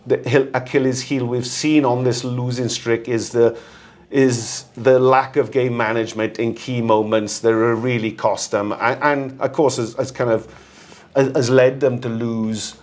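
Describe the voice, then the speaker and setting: A man giving rugby analysis as a guest, halting and repeating words as he thinks aloud. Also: mid-range voice, fairly even intonation. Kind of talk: casual rugby discussion.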